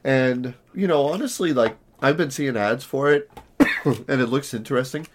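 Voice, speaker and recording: A man co-hosting a casual podcast, his voice hoarse and raspy from allergies, chatting in a rambling, self-deprecating way. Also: normal speed, noisy background. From Southern California. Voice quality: naturally deep voice, raspy today. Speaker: podcast co-host.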